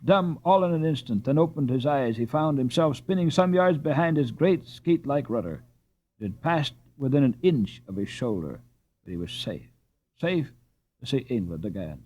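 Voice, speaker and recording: A man reading a children's story aloud as an audiobook narrator, with an expressive and deliberate storytelling delivery. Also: medium pitch. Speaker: audiobook reader.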